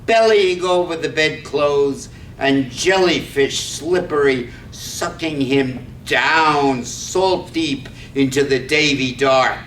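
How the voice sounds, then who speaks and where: Guy reading poetic play narration, slow, bit far from the mic, faint rumble. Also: a bit bright, roomy sound. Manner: deliberate, phrase by phrase. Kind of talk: poetic scripted stage narration.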